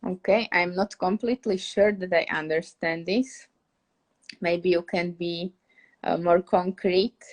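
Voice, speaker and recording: A woman's voice: lowish voice, steady tone, a little slow. A young woman from Slovakia with a Slovak accent, casually teaching English on a live stream, chatty and laughing often as she searches for words.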